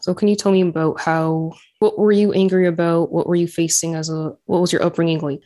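A woman with a soft, mellow tone, pitched a little low. She is an interviewer in easy conversation. She listens attentively and echoes back what she hears. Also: flat delivery, fairly quick. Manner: attentive, reflects back what was said before asking.

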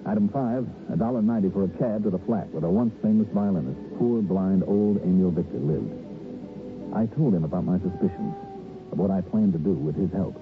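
An actor playing a brisk, wisecracking American investigator in an old radio detective drama, with punchy, slangy, insistent delivery. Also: male voice, sits low, over music, close to an everyday voice. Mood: matter-of-fact. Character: brisk, wisecracking male insurance investigator hero. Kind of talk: 1950s-60s radio detective drama acting and narration. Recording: muffled, noisy vintage radio broadcast.

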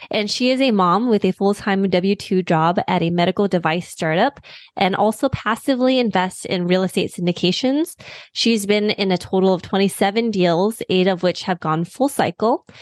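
A woman's voice: mid-range voice, dry recording. A woman hosting a podcast, reading a polished intro and asking questions in an upbeat manner. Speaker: podcast host. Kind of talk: scripted intro and interview questions.

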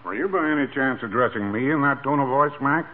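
An actor playing a tough bricklayer who talks in a mock-pompous, wordy, sarcastic way. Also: male voice, mid-range voice, fast, close to an everyday voice. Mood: haughty. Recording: tinny old radio broadcast with hiss.

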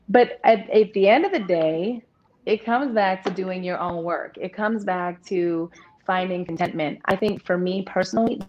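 A woman in her thirties with a deep voice, speaking openly and at length as an interview guest, with many restarts. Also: a little hiss or hum. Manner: open, rambling, restarts often. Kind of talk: candid personal conversation. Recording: dull lo-fi audio.

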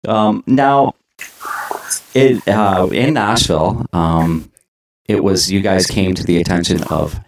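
A Canadian man hosts a relaxed interview with a very deep, gravelly voice. He chuckles easily and lets his questions ramble before landing them. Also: rough recording. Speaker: podcast interviewer. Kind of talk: casual interview chat.

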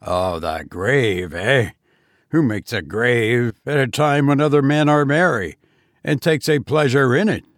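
A man voicing a stern, menacing goblin with a deep voice, taunting slowly in a storybook reading. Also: no room sound, clean studio sound, lightly acted. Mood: mocking.

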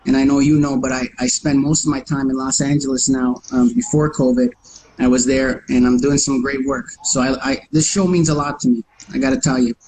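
A Canadian man with a slight New York accent talks as a guest in a casual interview. His voice sits at a middle pitch, and he is friendly and often restarts his phrases. Steady noise is heard behind him. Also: brisk, low-quality recording. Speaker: actor guest.

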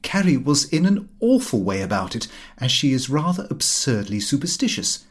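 Expressive male narrator, lively intonation. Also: medium pitch, normal speed, breathy edge. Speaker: audiobook narrator. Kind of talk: scripted literary narration in diary form.

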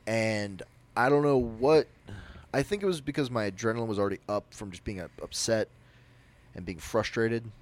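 A young man with a naturally loud voice, telling a personal story on a podcast in a rambling, animated way. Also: lowish voice, normal speed. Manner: animated, rambling, with frequent restarts. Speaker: podcast co-host. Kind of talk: casual storytelling.

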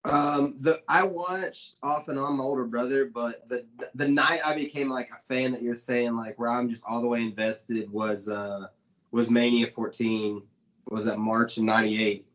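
A man in his thirties chatting casually about wrestling, excitable and swearing freely. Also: mid-range voice, normal speed, dull audio. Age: 30s.

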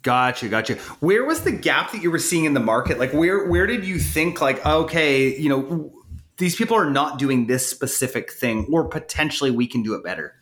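Upbeat podcast host, lively pitch. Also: male voice, moderate pace. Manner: upbeat, joking, lively questions. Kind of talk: podcast interview and ad read.

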